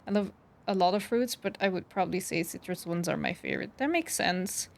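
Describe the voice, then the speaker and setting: A woman's voice: mid-range voice, fairly quick, creaky. A woman chatting casually as she streams a game, reacting to it and answering viewers in a chatty way. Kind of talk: casual live stream chat while playing. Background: soft game music.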